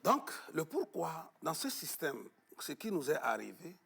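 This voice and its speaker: Husky man, lively and gravelly. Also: fairly high pitch, measured pace. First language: Arabic-educated, French not first. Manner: emphatic, hesitant fillers, repeats words. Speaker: guest on traditional knowledge. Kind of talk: studio interview, emphatic explaining. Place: TV studio.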